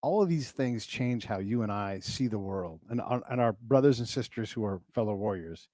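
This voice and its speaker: A man hosting an interview speaks in a warm, relaxed tone. He asks energetic, searching questions. The sound is close and dry. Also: fairly low pitch, moderate pace, no echo. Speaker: podcast host. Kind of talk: interview conversation.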